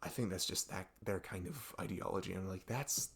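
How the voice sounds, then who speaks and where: A man playing a young best friend who confides in a halting, rambling, exasperated way in an ASMR audio roleplay. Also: deep voice, creaky, fairly bright tone. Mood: frustrated, rambling. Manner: rambling, halting, exasperated sighs and nervous laughs. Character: young male best friend venting about arguing with parents.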